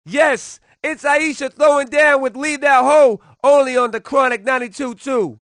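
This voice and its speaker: A man's voice: extremely high pitch, fairly even intonation, normal speed, fairly bright tone, dry recording, studio quality. A man performing as a brash, hyped-up hip-hop radio DJ, announcing in punchy, slangy, promotional patter. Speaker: radio DJ host.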